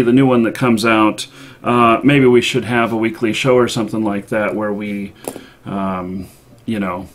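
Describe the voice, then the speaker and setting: A man hosting a live online show, talking off the cuff in a halting way with many ums and uhs. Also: mid-range voice. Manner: hesitant, halting delivery thick with ums and uhs. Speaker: live stream show host. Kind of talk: unscripted live show sign-off.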